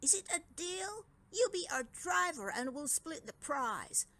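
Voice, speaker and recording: A woman's voice: sits high, big pitch swings, a little slow, pushed and bright, pitched above the performer's own voice, full character voice. A woman voicing a gleeful, scheming young dragon troublemaker in a children's storybook, with a boisterous manner. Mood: eager.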